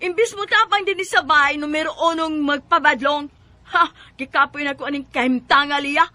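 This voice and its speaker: A woman's voice: high-pitched, normal speed, pushed and bright, dry recording. A woman with a Cebuano accent playing a weary, scolding mother of a poor family in a radio drama. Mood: angry, fed up. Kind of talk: Filipino radio drama acting.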